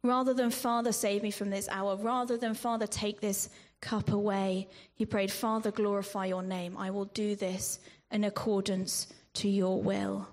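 A woman preaching a sermon in a measured way, with ums and restarts, sometimes dropping to a whisper on scripture. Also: mid-range voice, flat delivery, a little mellow. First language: not Greek. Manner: slow, measured delivery with frequent ums and restarts.

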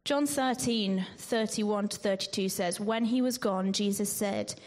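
A woman preaching a sermon in a measured way, with ums and restarts, sometimes dropping to a whisper on scripture. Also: medium pitch, darkish tone. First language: not Greek. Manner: slow, measured delivery with frequent ums and restarts.

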